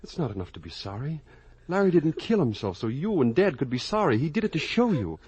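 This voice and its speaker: An actor playing an earnest, morally outraged young son in 1950s radio drama, with a husky voice and intense delivery. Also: male voice, fairly high pitch, very animated, brisk, darkish tone, exaggerated voice. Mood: outraged, pleading. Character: earnest, morally outraged grown son confronting his parents.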